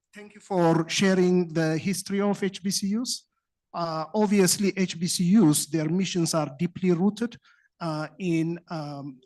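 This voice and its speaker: A man from Ethiopia with an Ethiopian accent, a college dean putting an earnest, explanatory question to a panel with hesitant pauses. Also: a little high. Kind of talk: spoken question at a panel discussion.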